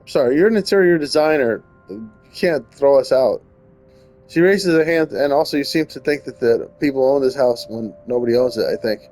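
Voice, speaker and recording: A man with a medium-pitched voice plays a game, switching between reading aloud and casual commentary. He tends to trip over words and repeat them, with faint music underneath. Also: brisk, darkish tone. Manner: stumbles and rereads while reading aloud. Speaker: gaming streamer.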